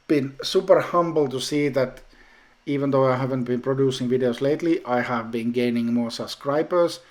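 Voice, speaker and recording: A man whose native language is Finnish, talking casually in a home brewing vlog update, with many pauses and restarts. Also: medium pitch, a little mellow. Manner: slow, hesitant, many ums and restarts.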